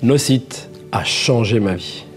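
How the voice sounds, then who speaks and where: A man's voice: mid-range voice, slow, faint music behind. A man in his forties giving a promotional testimonial about his business. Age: 40s.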